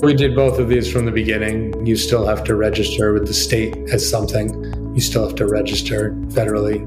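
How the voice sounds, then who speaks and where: A man speaks matter-of-factly as a podcast guest, his low voice staying flat. The room around him is echoey, and faint music plays underneath. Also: brisk. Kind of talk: podcast interview.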